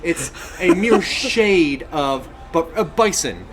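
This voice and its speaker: A man in his thirties chatting casually as a podcast co-host, halting and wandering off on tangents. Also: on the high side, expressive, fairly bright tone. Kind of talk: casual chat about games and films.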